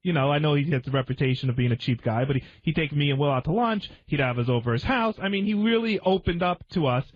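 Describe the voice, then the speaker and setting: A man's voice: a little high, fast talker, few pauses. A man in a casual radio discussion, hedging and repeating his phrases as he explains himself. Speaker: radio show staff member. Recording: dull, muffled lo-fi radio audio.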